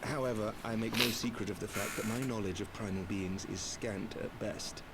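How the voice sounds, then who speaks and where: An actor playing a formal, courteous and dutiful knight commander in a fantasy game, speaking in a measured way. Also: male voice, on the low side, steady tone, close to an everyday voice. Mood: earnest, resolute. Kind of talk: fantasy game cutscene acting. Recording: game audio under loud ambient noise.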